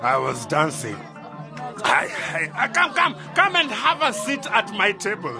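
Tipsy loud official, high swooping voice, bar music behind, radio drama. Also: male voice, wildly swooping pitch, measured pace, creaky, exaggerated voice. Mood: effusive. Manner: loud, effusive, repeating himself. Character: boisterous tipsy male village bureaucrat out dancing. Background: dance bar music and chatter.

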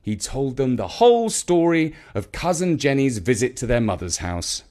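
A man reading a children's story in an expressive narrating style. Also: mid-range voice, moderate pace.

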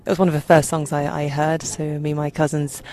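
A woman from Uganda, a journalist, chatting casually with lots of fillers while slightly hoarse from a cold. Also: sits low, level tone, fairly quick. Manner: warm, chatty, many fillers and restarts. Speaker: journalist guest. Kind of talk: casual chat.

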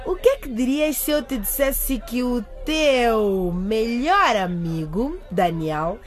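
A woman's voice: on the high side, lively intonation, takes it slowly, faint music behind. A gossipy teenage schoolgirl. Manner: eager, gossipy. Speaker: student. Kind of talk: radio drama acting.

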